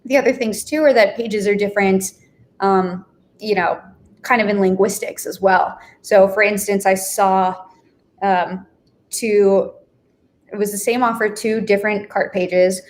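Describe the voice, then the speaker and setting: A woman's voice: mid-range voice, steady tone, unhurried. Chatty woman explaining. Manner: chatty, explanatory, frequent "you know" and "right?". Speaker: affiliate manager guest. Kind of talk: live stream discussion.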